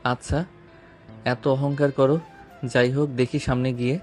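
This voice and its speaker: A man acting a calm, self-assured young businessman in an audio story drama, speaking in composed, deliberate phrases. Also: medium pitch, soft background music, natural voice.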